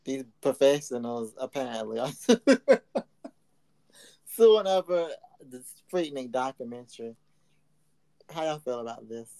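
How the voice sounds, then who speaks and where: Lively laughing man hosting a podcast. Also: medium pitch, unhurried, creaky, long pauses, no echo, low-quality recording. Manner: laughs often, animated and teasing. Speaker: podcast host. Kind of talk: casual chat.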